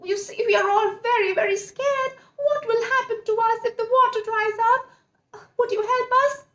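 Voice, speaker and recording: A woman voicing a cheeky, excitable little male crab in a children's story, with sing-song exclamations. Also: very high voice, moderate pace, echoey room, pitched above the performer's own voice. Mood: anxious, pleading.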